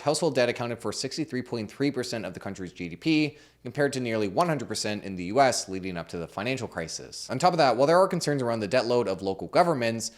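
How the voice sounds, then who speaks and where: A man hosting a finance channel, explaining the market in a measured, neutral way while hoarse and losing his voice. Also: lowish voice. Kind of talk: explainer commentary.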